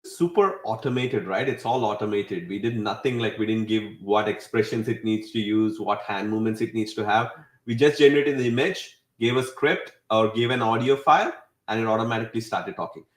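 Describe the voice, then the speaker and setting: A man hosting a webinar with a casual software walkthrough, often checking in with a tagged-on "right?". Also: mid-range voice. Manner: often tags statements with "right?". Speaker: webinar host demonstrating software. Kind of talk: casual screen-share tutorial. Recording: roomy, echoey voice recording.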